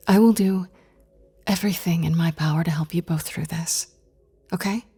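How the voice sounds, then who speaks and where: A woman's voice: on the low side, steady tone, normal speed, warm tone, husky. A woman playing an earnest, strong-willed therapist in an audio drama, speaking articulately and expressively. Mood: calm, reassuring.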